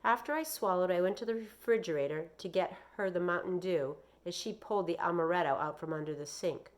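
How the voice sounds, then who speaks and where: A woman reading aloud like a teacher to children, expressive and deliberate in her delivery. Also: lowish voice. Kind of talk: read-aloud with explanations and questions for children.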